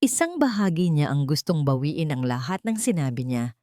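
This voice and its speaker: Low-voiced woman narrating romantic drama, dry sound. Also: sing-song, normal speed, warm tone, no room sound. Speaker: storyteller narrator.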